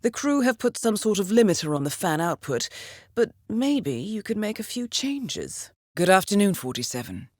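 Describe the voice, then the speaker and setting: An actress playing a composed, dryly witty intelligence handler who briefs an assassin in a measured, formal way for a video game. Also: female voice, medium pitch, very animated, a little mellow, no echo, studio quality. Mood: composed briefing. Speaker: mission handler briefing an agent. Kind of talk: scripted video game voice acting, mission briefing.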